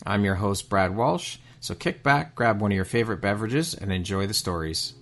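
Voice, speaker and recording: A man's voice: lowish voice, lively intonation, moderate pace, a bit bright. A man speaking as an upbeat, encouraging podcast host. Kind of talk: upbeat scripted intros and interview talk.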